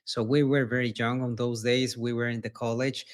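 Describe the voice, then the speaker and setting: Mexican-accented founder, earnest and repetitive. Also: male voice, mid-range voice, little pitch movement, normal speed. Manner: earnest, repeats words, non-native phrasing. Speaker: startup founder guest. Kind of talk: casual interview answers.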